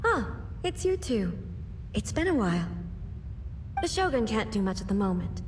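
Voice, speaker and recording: An actress voicing a composed, aloof female deity in a game, who explains things in a measured, matter-of-fact way. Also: mid-range voice, sing-song, a little hiss or hum, close to an everyday voice.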